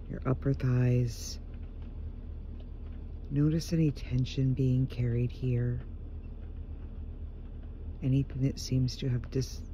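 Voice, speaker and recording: A man leading a guided meditation in a gentle, hushed voice, leaving unhurried pauses between short phrases. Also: medium pitch. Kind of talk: guided meditation, slow soothing narration. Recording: muffled, dull home recording with faint noise.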